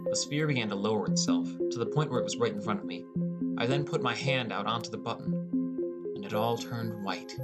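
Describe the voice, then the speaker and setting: A man's voice: on the low side, a little fast. Man narrating fantasy fiction over prominent music. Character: teenage boy gamer, gloomy and introspective. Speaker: audiobook narrator. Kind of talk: first-person scripted fantasy narration. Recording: music under the voice.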